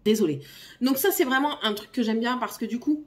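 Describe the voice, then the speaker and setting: A woman talks about her reading list at home while fighting off a cold, her voice hoarse and a little rough. She sits a short way from the microphone, so she sounds slightly distant. Her delivery is chatty and apologetic. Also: on the high side, fairly quick. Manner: chatty, apologetic, coughing now and then. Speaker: book YouTuber. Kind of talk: casual reading-list chat, reading blurbs aloud.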